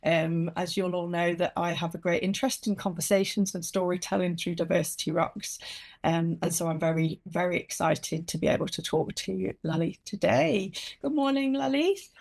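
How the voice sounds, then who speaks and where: A woman's voice: lowish voice, normal speed, vocal fry. A woman hosting a podcast interview, chatty and upbeat, with frequent ums and easy laughter. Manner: warm, laughs often, many ums. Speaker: podcast host. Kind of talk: casual interview chat.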